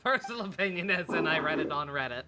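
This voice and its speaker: A woman's voice: low voice, expressive, quick pace, very noisy. A woman streaming a game with casual live commentary, teasing and wisecracking in a sarcastic way.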